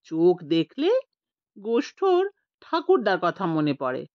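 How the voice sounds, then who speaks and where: A woman's voice: fairly low pitch, huge pitch swings, slow, no echo, lo-fi sound, full character voice. A woman voicing a pompous, opinionated uncle who exaggerates in a comic story. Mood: impressed. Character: uncle, pompous flatterer who turns mocking.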